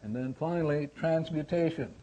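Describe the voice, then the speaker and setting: A man teaching a spiritual seminar in a deliberate, repetitive way, asking and answering his own questions. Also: fairly high pitch, warm tone. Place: seminar room with attendees. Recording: slightly dull lo-fi recording.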